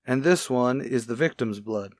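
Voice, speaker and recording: A man playing a calm, sober police detective partner in a radio crime drama, low-key and matter-of-fact. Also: moderate pace, dry recording, studio quality, lightly acted. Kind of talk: old-time radio crime drama acting.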